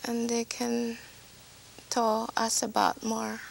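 Gravelly, halting woman from Vietnam. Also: fairly high pitch, monotone, poor audio. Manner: halting non-native English with broken grammar. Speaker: interview guest. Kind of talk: personal life story in conversation. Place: small TV studio set.

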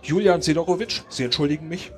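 A man's voice: a little high, quick pace, close to an everyday voice. A man playing a suave, probing Soviet husband with a formal manner in a live radio play. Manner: formal, inquisitive.

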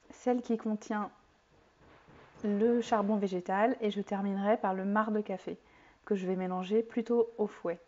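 A woman in her forties explaining soap making step by step in a home kitchen, with a clear, calm teaching manner and a few fillers. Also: mid-range voice. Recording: slightly dull lo-fi sound.

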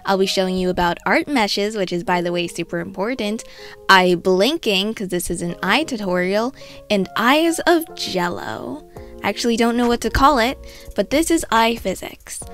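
A woman's voice: mid-range voice, normal speed, a bit bright, soft background music. Congested young woman, chatty tutorial voice-over. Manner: casual, chatty, self-mocking asides. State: congested from a cold. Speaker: art tutorial host.

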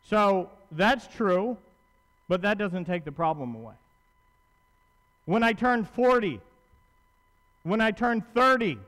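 High-pitched man preaching in a reverberant hall. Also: a bit bright. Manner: conversational and emphatic, with rhetorical questions and repetition. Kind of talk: sermon. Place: large church auditorium, at pulpit on stage. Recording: long hall reverb from stage.

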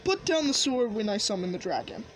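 Woman playing a frank, halting knight father. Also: fairly high pitch, sing-song, noisy background. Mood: explaining. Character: fatherly knight, frank mentor to his son. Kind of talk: improvised video game roleplay.

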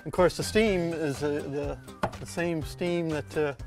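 An older man who builds canoes talks casually in his woodworking shop, pausing often with fillers. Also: on the high side, fairly even intonation, gravelly. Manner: halting, many fillers. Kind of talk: casual interview. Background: background music.

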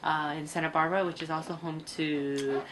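A woman's voice: low voice, fairly even intonation, fairly quick, background noise. A woman in her twenties whose first language is Cantonese, telling easygoing, rambling stories as a guest in a casual interview in a small room. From United States. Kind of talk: casual chat. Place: small indoor room with computers.

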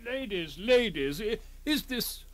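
Flustered, high-pitched gentleman. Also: sing-song, slow pace, heavily acted. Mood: flustered, apologetic. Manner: stammering, over-polite, repeating himself. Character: flustered, apologetic, well-bred English gentleman motorist. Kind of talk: radio drama acting.

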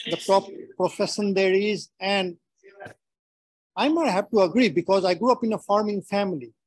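A man's voice: sits high, no room sound, poor audio. Dry-sounding Nepali-accented man presenting. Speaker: webinar speaker. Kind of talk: prepared webinar talk.

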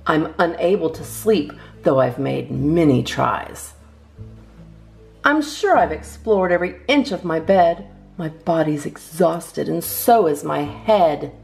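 A woman with a low, creaky voice reads children's verse aloud as a storytime host. Her phrasing is measured and expressive, and soft guitar music plays behind her. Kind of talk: read-aloud of children's poems.

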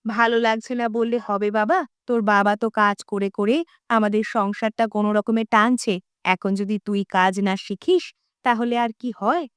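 A woman's voice: medium pitch, normal speed, clear, resonant tone, no echo, studio quality, natural voice. A woman playing a concerned, nagging village mother in a cartoon, coaxing and chiding. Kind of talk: cartoon dialogue acting.

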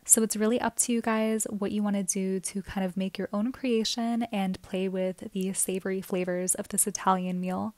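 A woman's voice: mid-range voice, a little mellow, no echo. A young woman narrating her cooking video in a friendly, chatty voice-over. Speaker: cooking vlogger.

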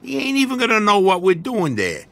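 Game sidekick: a cocky, crude gangster, high bright voice, lots of swing in pitch. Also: male voice, wildly swooping pitch, brisk, gravelly, heavily acted. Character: brash young male gangster, cocky joker.

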